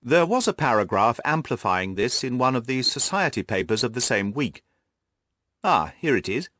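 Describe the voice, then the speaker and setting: An earnest, orderly gentleman companion from an audiobook, played by a male reader, speaking with measured care. His mid-pitched voice moves expressively, recorded dry. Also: very animated, no room sound. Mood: measured, informative. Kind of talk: audiobook character voice.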